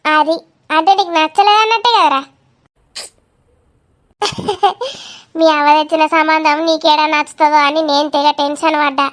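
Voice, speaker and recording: A woman's voice: high-pitched, steady tone, quick pace, a bit bright. A woman voicing a nosy, grumbling village aunt in a cartoon comedy, with a Telangana Telugu accent and a blunt, gossipy way of talking. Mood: suspicious, probing.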